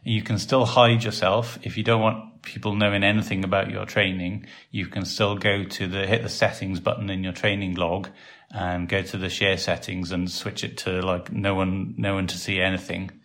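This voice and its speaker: A Welsh man with a very low voice hosts a casual podcast. He rambles along easily, full of ums and little restarts. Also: moderate pace. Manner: relaxed and rambling, with many fillers. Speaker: podcast host and site developer.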